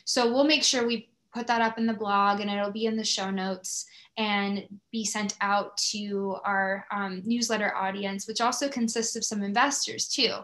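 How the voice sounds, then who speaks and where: A woman's voice: medium pitch, steady tone, normal speed, a bit bright. A woman hosting a podcast, chatting in an appreciative, effusive way as she interviews a guest. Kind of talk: conversational interview.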